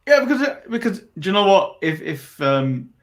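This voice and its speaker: Male co-host, fairly high voice, easygoing recapper, hesitant and amused. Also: very animated, normal speed. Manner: recaps from notes, hesitant fillers, laughs. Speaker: podcast co-host. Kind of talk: casual wrestling review chat.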